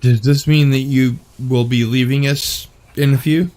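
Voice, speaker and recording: A tired man hosting a casual online panel chat, talking in a halting way with many fillers. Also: mid-range voice, fairly even intonation, a little mellow. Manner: halting, full of fillers and restarts. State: tired from little sleep. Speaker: host.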